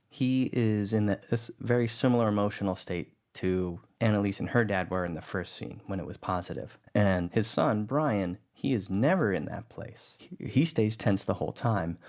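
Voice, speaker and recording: A man narrating a tutorial on music editing in a relaxed, explanatory way. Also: fairly low pitch, moderate pace. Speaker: audio drama producer teaching music editing. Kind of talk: tutorial voice-over.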